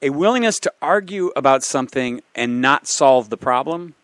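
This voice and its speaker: A man's voice: mid-range voice, expressive, moderate pace, a bit bright, no echo. A man chatting on a radio talk show, hesitant and restarting his sentences. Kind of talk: casual radio chat.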